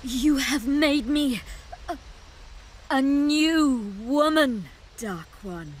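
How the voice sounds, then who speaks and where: Slow, sultry fairy queen. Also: high voice. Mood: sultry, devoted. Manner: sultry, drawn-out, condescending. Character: seductive, haughty fairy queen turned devoted temptress. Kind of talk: video game character acting. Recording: loud music behind the voice.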